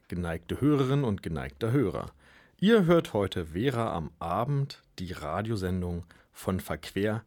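A man hosting a radio show, presenting with a husky voice. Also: deep voice, a little mellow.

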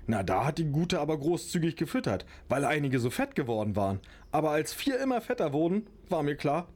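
A man's voice: fairly high pitch, a little mellow. A man playing a chatty, practical rabbit breeder in an amateur radio play.

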